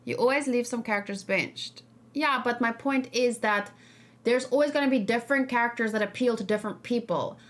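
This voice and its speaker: A woman's voice: fairly high pitch, fairly quick. A woman hosting a casual livestream discussion, rambling and hesitant as she thinks aloud and talks with her viewers. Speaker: streamer host.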